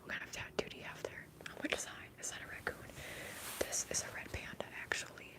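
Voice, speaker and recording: Breathy, close-up whispering ASMR woman. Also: fast. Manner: soft, repetitive trigger words. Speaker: ASMR live streamer. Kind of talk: ASMR whispering, chatting with live viewers. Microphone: close-up microphone.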